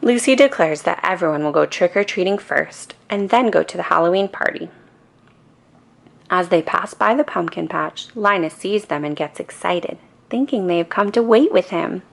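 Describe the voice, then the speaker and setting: A woman narrating a children's bedtime story in a calm, expressive storytelling manner. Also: on the low side, normal speed, gravelly. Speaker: storybook reader.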